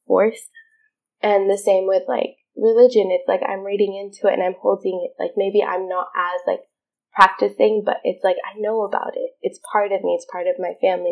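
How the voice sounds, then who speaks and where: A woman's voice: medium pitch, creaky, dull audio. A young woman from Syria, speaking as a podcast guest in an earnest, reflective way with many filler words. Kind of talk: casual interview chat.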